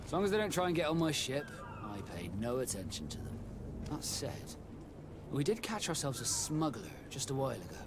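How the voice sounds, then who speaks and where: An actor as a wry sea captain, animated delivery. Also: male voice, medium pitch, a little fast, background noise, natural voice. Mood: wry, curious. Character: gruff proud male sea captain, wry and guarded. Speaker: ship captain. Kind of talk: video game cutscene acting. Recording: music playing behind the voice.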